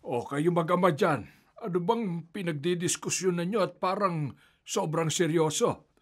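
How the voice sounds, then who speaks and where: An actor playing an older grandfather who gently reassures his grandson in a radio drama. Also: high-pitched, unhurried. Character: worried grandfather of the family.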